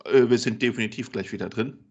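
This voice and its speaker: Gravelly husky co-host man. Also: medium pitch, quick pace, dull audio. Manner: agreeing, brief interjections. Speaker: podcast co-host. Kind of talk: casual podcast chat.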